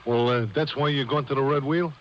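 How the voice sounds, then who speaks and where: An actor playing a slangy, chatty, worried sidekick in a 1950s radio detective drama. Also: male voice, medium pitch, steady tone, a little fast, dull audio, natural voice. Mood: wry concern. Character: wisecracking, worried male sidekick. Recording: slightly noisy old radio broadcast tape.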